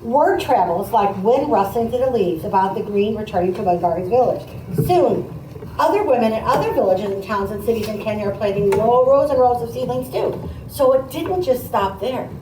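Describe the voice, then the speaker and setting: A woman teacher reading a picture book aloud, pausing to comment and put questions to her listeners in a conversational way. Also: a little high, animated. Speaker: teacher demonstrating a read aloud to pupils. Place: echoey indoor classroom. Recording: roomy, distant mic, faint background noise.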